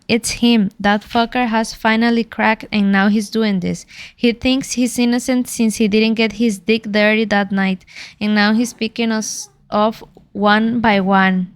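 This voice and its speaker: A man's voice: high-pitched, clear, resonant tone, dry recording. Woman reading as a crude scornful young man. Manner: vulgar, scornful rant. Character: crude, angry young man scheming with a friend.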